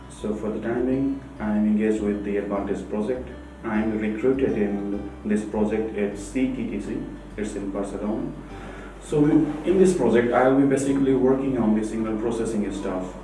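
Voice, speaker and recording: A man from Nepal, a researcher, introducing his studies in a plain factual way. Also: on the low side. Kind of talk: interview to camera. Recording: echoey room from far off with music behind.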